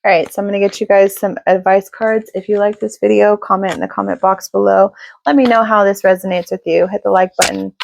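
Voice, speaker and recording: A woman giving a casual tarot reading to her viewers, chatty and reassuring, with lots of fillers and a slightly raspy voice. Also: mid-range voice, steady tone, brisk. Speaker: tarot reader.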